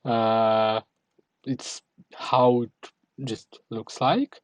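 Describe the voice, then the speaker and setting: A male developer presenting his project in a halting way with frequent fillers, an English speaker. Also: medium pitch, steady tone, dry recording. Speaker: developer and community moderator. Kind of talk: recorded demo presentation.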